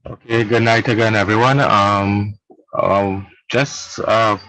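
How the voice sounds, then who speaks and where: A man with a fairly low voice speaks in a measured way and thinks aloud as he makes his point. The sound is close and dry. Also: fairly even intonation, pushed and bright, no room sound, rough recording. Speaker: radio club member. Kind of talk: casual discussion on a video call.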